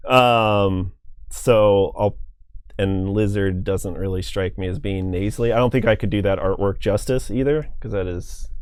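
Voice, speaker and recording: A young adult man with a nasal, monotone voice, chatting casually as a guest player. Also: on the low side, a little slow, clear, resonant tone, no echo. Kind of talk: casual chat.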